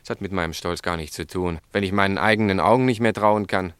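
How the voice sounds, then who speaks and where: Deep male voice, upright stubborn shopkeeper, firm and curt, dry close sound, radio play. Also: fast, fairly bright tone, smooth, no room sound. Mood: firm, defensive. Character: Fred Collins, stubborn upright snack-bar owner, troubled eyewitness. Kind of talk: German radio drama acting.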